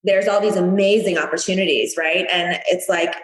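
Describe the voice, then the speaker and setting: A woman's voice: fairly low pitch, fairly even intonation, normal speed, reverberant, a little hiss or hum, poor audio. A woman in her thirties from the United States, a podcast guest who chats in a bubbly way and laughs often. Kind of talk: casual interview.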